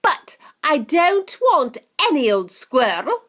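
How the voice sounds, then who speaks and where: A woman reading an audiobook, voicing a spoiled, demanding young girl who whines and shouts. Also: sits high, very animated, a little slow, voice pushed up, full character voice. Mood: bratty demanding. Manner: whiny, shouting, bratty.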